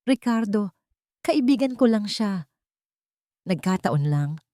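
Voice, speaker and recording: A woman voicing a meek, hurt and loving wife in a narrated drama, soft-spoken and pleading. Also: mid-range voice, animated, takes it slowly, no room sound, studio quality, voice pushed up.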